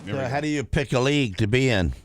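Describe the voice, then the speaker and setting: A man's voice: medium pitch, expressive, fast, heavy background noise. A man co-hosting a radio show, chiming in with short, casual banter. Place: radio studio.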